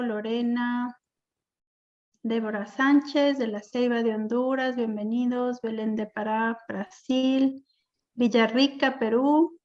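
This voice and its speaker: A woman moderates an online webinar in a somewhat high voice. She welcomes people and gives practical instructions with a halting delivery. The sound is dull and lo-fi, as on a video call. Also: darkish tone.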